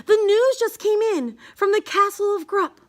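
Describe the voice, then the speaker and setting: A woman reading a rhyming children's picture book aloud in a measured, singsong way. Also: extremely high pitch. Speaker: storybook reader.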